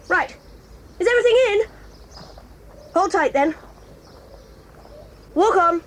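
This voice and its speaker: An actress voicing a proud, quick-tempered tomboy girl in an audio drama, talking in a brisk, bossy and eager way. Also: very high-pitched, expressive, a little hiss or hum, full character voice. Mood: excited, lively. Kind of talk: 1980s audio drama acting.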